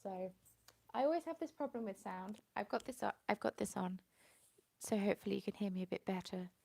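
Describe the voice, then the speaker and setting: Gravelly woman chatting live. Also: medium pitch, brisk, mellow. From England. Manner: rambling, chatty, greeting viewers by name. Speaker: craft live streamer. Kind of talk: casual live stream chat. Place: at home in the evening, dim light. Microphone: phone with a small plug-in mic.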